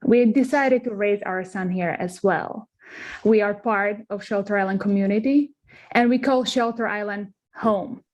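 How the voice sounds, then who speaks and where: A woman's voice: mid-range voice, unhurried, darkish tone. A woman from Slovakia with a Slovak accent, politely giving a prepared candidate introduction. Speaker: town clerk candidate.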